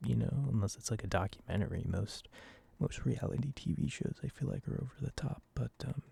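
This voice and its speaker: A man in his early twenties rambling softly and casually in an ASMR style, with a self-deprecating manner. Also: mid-range voice, animated, a little fast, creaky, slightly rough, dry recording. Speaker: ASMR video creator. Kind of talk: soft-spoken rambling ASMR.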